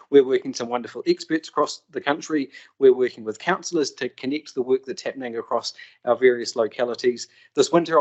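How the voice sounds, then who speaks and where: A man, an advocacy group co-founder, giving an earnest, campaigning introductory speech. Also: medium pitch. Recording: slightly dull sound.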